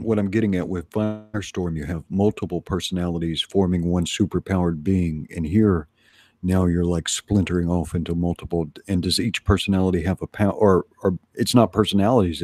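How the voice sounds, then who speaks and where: A man's voice: sits low, fairly even intonation, brisk, mellow, husky, no echo. A man asking questions and commenting in a casual conversation, as an interviewer.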